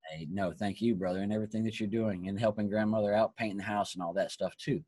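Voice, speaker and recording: Hoarse, husky male host; earnest motivational speaker, low voice, dry close sound. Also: fairly even intonation, fast, dark tone, no room sound. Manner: earnest, preachy, repeats phrases. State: hoarse, losing his voice. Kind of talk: motivational talk on a live online call.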